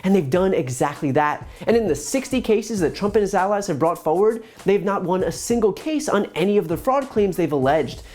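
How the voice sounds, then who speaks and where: High-pitched American man, political commentator. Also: animated, fairly quick, hardly any pauses, a little mellow. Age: 30s. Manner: emphatic, sarcastic asides. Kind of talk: scripted political commentary to camera. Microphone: lapel mic.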